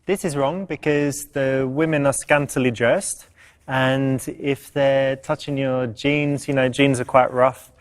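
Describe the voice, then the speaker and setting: A man's voice: medium pitch, fairly even intonation, a little slow. A man speaking casually and explanatorily as a dating coach and presenter. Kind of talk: casual instructional commentary and acted conversation.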